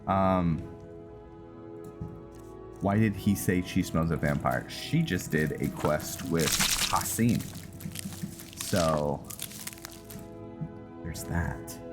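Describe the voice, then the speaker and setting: A man's voice: low voice, very slow, pauses often. A man casually commenting while playing a role-playing game, joking and thinking aloud. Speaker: gaming video host. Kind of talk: casual let's-play commentary. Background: game music.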